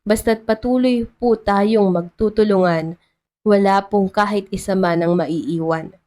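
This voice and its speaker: A woman voicing a benevolent male mayor giving a formal, measured public speech in an audio love story. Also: medium pitch, fairly even intonation, a little mellow, natural voice. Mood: humble, earnest.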